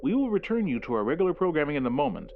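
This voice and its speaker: Formal local TV weatherman played by a male actor, lively pitch swings, emergency-bulletin delivery, dull analog horror broadcast sound. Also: a little hiss or hum. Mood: formal, urgent. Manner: formal, measured announcer delivery.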